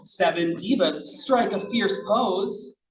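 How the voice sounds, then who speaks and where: A person reading picture books aloud to children in an animated, encouraging way, with chatty asides. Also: medium pitch, slow pace. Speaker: drag storytime reader. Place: echoey indoor room, library event. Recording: roomy, noisy, distant mic.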